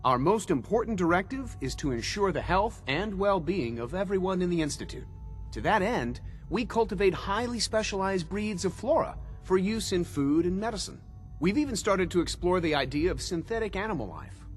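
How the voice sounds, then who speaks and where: Courteous scientist, high voice, lively intonation, eager and articulate, game dialogue, music behind. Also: male voice, moderate pace. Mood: enthusiastic explaining. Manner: polite, eager, articulate explaining. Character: enthusiastic, courteous male scientist, head of bioscience division. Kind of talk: video game dialogue acting.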